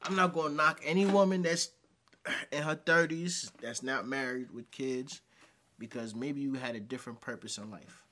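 A man's voice: medium pitch, moderate pace, pushed and bright, a little hiss or hum. A man in his thirties giving casual, emphatic reaction commentary, repeating phrases for emphasis. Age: 30s. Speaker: reaction video host. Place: small dim indoor room.

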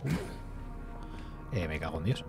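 A man's voice: very deep voice, expressive, measured pace. A man in his thirties from Spain, chatting loosely and swearing casually while he plays a game on stream, heard through a headset mic at home. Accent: Spanish from Spain. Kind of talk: casual gameplay commentary. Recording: faint game music behind.

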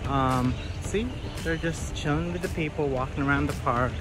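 A man's voice: a little high, moderate pace, poor audio. A man in his thirties from the United States, chatting casually while walking around a city and filming himself on a phone at arm's length. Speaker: travel vlogger. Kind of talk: casual walking-tour vlog. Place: outdoors on city streets, parks and in shops. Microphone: phone held at arm's length.

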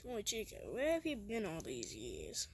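A woman acting out a plush-toy skit, talking haltingly with restarts and surprised questions, recorded on a handheld phone. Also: mid-range voice, huge pitch swings, breathy edge. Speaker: plush video maker voicing toys.

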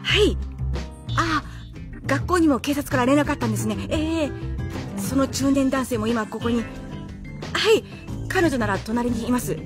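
A woman's voice: sits high, normal speed, pauses often, over music, lightly acted. A woman acting a curt, commanding, easily exasperated professional in a radio drama. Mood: businesslike. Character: brusque, bossy, exasperated female senior colleague. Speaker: actor.

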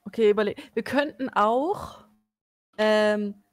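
A woman's voice: mid-range voice, slow pace, dry recording. A woman chatting casually with friends during an online drawing game, giggling often. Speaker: fellow player.

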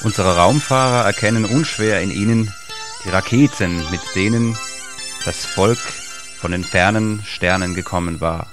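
A man's voice: on the low side, measured pace, music in the background. A man narrating a scripted adventure audio drama for children.

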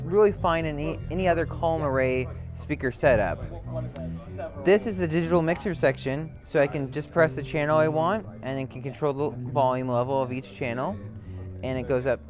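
Male product demonstrator, slightly high voice, off-the-cuff walkthrough delivery, recorded with prominent music behind. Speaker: product demonstrator for an audio brand. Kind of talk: unscripted product demo. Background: loud music.

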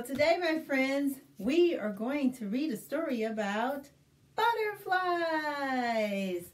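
Slow, high-voiced American woman reading to children. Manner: expressive, deliberate, simple explanations for children. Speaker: children's librarian and storytime host. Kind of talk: reading a picture book aloud to young children. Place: small indoor room, plain backdrop.

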